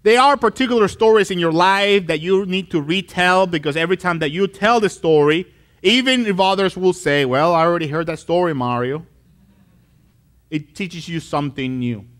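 Animated male pastor, high bright voice. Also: normal speed. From Colombia. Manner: animated storytelling, acts out voices. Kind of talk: sermon. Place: church stage with wood wall.

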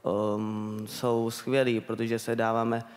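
A young man from the Czech Republic giving halting, hesitant panel remarks into a handheld microphone on a conference stage. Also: on the low side, monotone, a little mellow. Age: young adult. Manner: hesitant, halting, repeats words. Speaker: self-advocate and panel speaker. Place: on stage in a large conference hall. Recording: reverberant conference hall sound.

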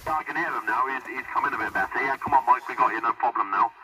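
A man's voice: mid-range voice, quick pace. A man chatting casually as a CB operator, heard over a radio. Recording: dull, distant CB radio with loud noise.

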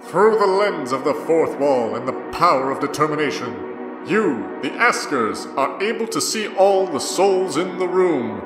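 A man playing a solemn, mysterious narrator, reading scripted game-style narration in a measured way. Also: on the high side, sing-song. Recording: echoey reverberant sound, music behind.

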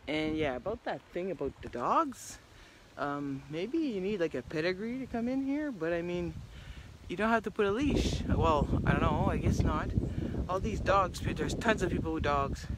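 A middle-aged woman talking casually to her phone while walking a wooded trail, rambling with many restarts and often trailing off into a quiet murmur. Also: on the low side, noisy background. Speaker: hiking vlogger. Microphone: phone held at arm's length.

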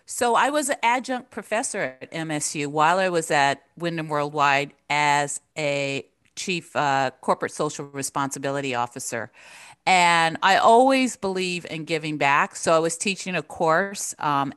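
A middle-aged woman whose first language was Japanese speaks into a desk mic over a video call. Her voice is fairly low and the sound is dry and close. She answers in an earnest, measured way. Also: fairly bright tone, clear, resonant tone, dry recording. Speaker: professor, interview guest. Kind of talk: conversational interview answers. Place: home living room.

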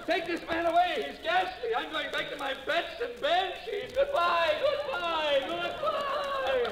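Panicked horror man, screechy high, wild swoops, loud crowd, old 40s radio comedy. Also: expressive, a little slow. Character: terrified horror man shrieking in comic panic. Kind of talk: 1940s radio comedy acting. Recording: echoey, noisy 1940s radio with crowd.

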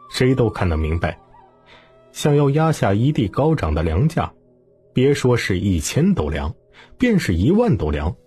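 A man narrating a historical novel as an audiobook in a dramatic, expressive storytelling manner. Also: lowish voice, husky.

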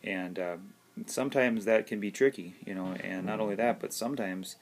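A man in his thirties giving a casual, conversational gear review as a DJ, in a halting way, recorded indoors at arm's length. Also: fairly low pitch, a little hiss or hum. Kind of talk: casual video review talk. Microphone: phone or camera at arm's length.

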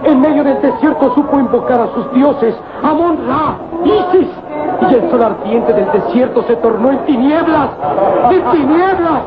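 Fervent servant, extremely high voice, slow proclaiming, radio drama, loud crowd behind. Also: male voice, lively intonation, phone-quality sound, very noisy. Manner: pleading, frantic proclaiming. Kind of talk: old radio serial acting. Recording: thin old radio recording over loud crowd.

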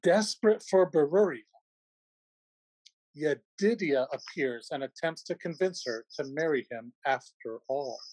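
A man's voice: a little high, animated, measured pace, darkish tone, no room sound, low-quality recording. A man in his forties giving scripted narration of a play's plot over a video call. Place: home room, video call.

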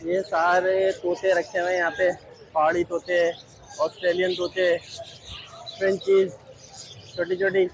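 Young male vlogger, high voice, phone held at arm's length outdoors, faint street noise behind. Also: level tone, measured pace, long pauses. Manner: chatty, asks sellers prices. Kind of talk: casual walking vlog. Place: crowded outdoor bird market. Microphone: handheld phone at arm's length.

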